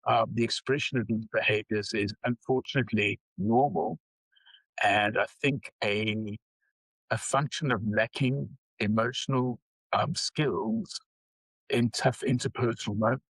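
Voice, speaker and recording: Husky older South African man. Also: fairly low pitch, fairly even intonation, fairly bright tone, no room sound, poor audio. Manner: measured, pauses often with um. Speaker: guest psychology researcher. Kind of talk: interview conversation.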